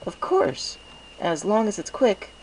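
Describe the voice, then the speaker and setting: Storybook unicorn mare, played by a man: young, studious, earnest, breathy, pitched well up, slight background noise. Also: sing-song, takes it slowly, exaggerated voice, rough recording. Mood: helpful. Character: young female unicorn scholar, bookish, startled, concerned. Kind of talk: acted audiobook character dialogue.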